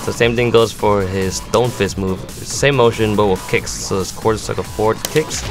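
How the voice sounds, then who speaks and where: Lower-voiced man explaining game moves over music. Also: normal speed. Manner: explanatory, step by step. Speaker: competitive gamer giving a character demo. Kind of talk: instructional game move commentary. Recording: music playing behind the voice.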